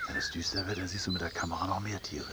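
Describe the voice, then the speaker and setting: An actor playing a practical, eager poaching pal in a radio drama, brisk and matter-of-fact, with noise behind him. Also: male voice, sits low, little pitch movement, close to an everyday voice. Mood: brisk, confident. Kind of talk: German radio drama acting.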